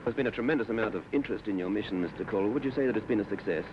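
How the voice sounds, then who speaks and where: A man interviewing formally in a lowish voice at a very rapid pace. His questions are polite and clipped. The recording is dull, noisy old newsreel sound.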